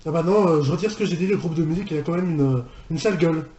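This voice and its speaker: High-ish, mellow man rambling in echoey room. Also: very fast, lo-fi sound, faint music behind. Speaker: reaction video host and fan dubber. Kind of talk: casual live reaction commentary.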